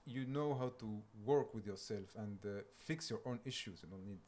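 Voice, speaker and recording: A man's voice: lowish voice, normal speed, darkish tone. A man with a French accent, a French speaker hosting a podcast interview in English, friendly and agreeable, with slightly broken grammar. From France. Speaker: podcast host.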